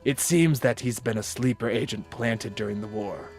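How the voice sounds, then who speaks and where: A man's voice: mid-range voice, moderate pace, faint music behind, natural voice. An actor playing a stern, unsympathetic male ninja interrogator in an audio drama. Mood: matter-of-fact. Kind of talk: fan audio drama acting.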